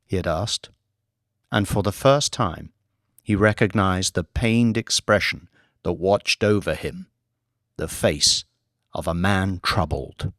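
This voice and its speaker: A man's voice: sits low, takes it slowly, long pauses, a bit bright, no echo. A man reading dramatic scripted audiobook narration into a close mic.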